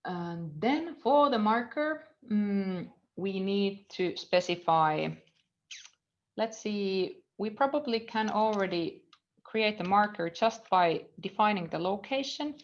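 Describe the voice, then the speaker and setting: A woman's voice: medium pitch, animated, takes it slowly, muffled recording. A woman in her thirties with a Finnish accent, a university lecturer teaching a live coding lesson, thinking aloud with frequent fillers and trailing off as she reads code. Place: indoor room at a computer.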